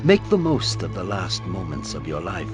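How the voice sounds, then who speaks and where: A super deep, formal vampire count, slow and ominous, loud music under it. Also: male voice, wildly swooping pitch. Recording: loud game music behind.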